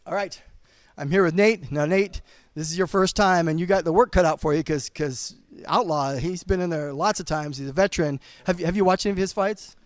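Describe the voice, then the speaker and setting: A man's voice: on the high side, brisk, dry recording. A man hosting ringside, interviewing fighters in a casual, upbeat and joking way. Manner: upbeat, joking, laughs often. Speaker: ringside host and referee.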